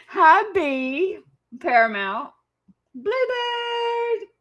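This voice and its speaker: A woman host mocks a clip in relaxed, casual commentary at an unhurried pace. She teases constantly and laughs often. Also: very high voice, muffled recording. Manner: teasing, mocking, laughs a lot.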